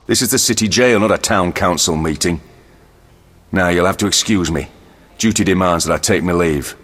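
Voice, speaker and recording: Casting: gruff game jail captain, commanding and curt, low voice in a reverberant space. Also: male voice, moderate pace, a bit bright. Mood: stern. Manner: brusque, commanding. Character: gruff, no-nonsense, corrupt male jail captain. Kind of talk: video game voice acting.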